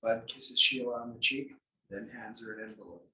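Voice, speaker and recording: A man's voice: mid-range voice, fairly even intonation, moderate pace, sharp tone. A man reading scripted stage directions as the narrator of a table read. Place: library room before an audience. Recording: echoey room, distant mic.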